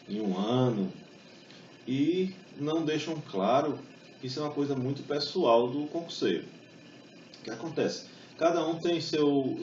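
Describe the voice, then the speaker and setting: A young man giving a talk to an audience, speaking in a chatty way with many fillers and quick tag questions. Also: lowish voice, darkish tone, slight background noise. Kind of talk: public talk with exam study tips. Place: event hall. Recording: distant echoey hall mic, dull sound.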